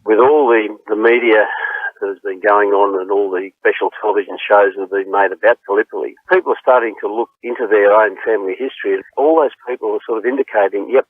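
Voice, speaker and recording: Low-voiced man on a phone line. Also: steady tone, fairly quick, no room sound. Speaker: veterans' branch president. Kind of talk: interview soundbite.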